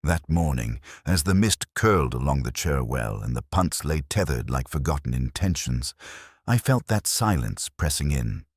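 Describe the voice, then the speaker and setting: A man with an extremely low voice reads first-person detective fiction. His delivery is measured and reflective, with lively rises and falls in pitch. The sound is dry and close, suited to literary storytelling. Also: husky, no echo. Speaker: narrator.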